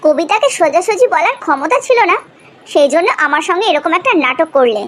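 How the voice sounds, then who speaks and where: A man's voice: extremely high pitch, very animated, a little fast, a bit bright, exaggerated voice. A man voicing an indignant, dramatic young woman in a cartoon love drama, theatrical and plaintive. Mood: sarcastic, accusing. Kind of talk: dramatic animated story dialogue.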